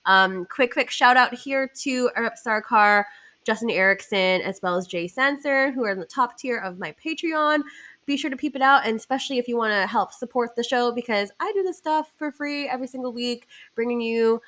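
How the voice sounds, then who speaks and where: A woman's voice: fairly high pitch, brisk, bright, forceful tone. A woman hosting a podcast in a casual, bubbly, giggly way, her nose stuffed up from a cold. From Canada. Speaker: podcast host.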